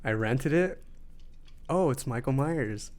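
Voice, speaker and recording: A man's voice: mid-range voice, takes it slowly. A man, a podcast guest from Southern California, chatting casually and telling stories with lots of fillers. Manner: relaxed storytelling with many fillers. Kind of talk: casual chat.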